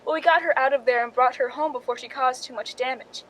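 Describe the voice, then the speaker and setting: A woman acts a scheming young stand-in from a suspense radio play. The voice is high and the delivery dry and knowing, like a confession in a noir tale. A light rumbling noise sits under it. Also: fairly even intonation, quick pace, a bit bright. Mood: wry, confiding. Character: cool, calculating young Hollywood stand-in narrating her own story. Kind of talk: 1940s-style suspense radio drama acting. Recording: noisy recording with low rumble.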